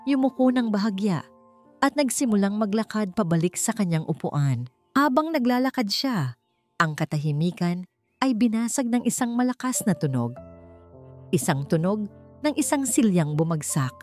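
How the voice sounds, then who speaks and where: A woman's voice: medium pitch, big pitch swings, moderate pace, a little mellow. A woman reading a dramatic scripted story as an audiobook narrator. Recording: music behind the voice.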